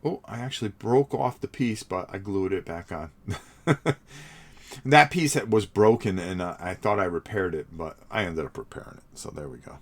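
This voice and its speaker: A man in middle age hosts a hobby livestream, narrating casually as he paints. His voice sits a little low and sounds sniffly from a cold. He rambles, trails off and repeats words as he answers his chat. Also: lively intonation, normal speed.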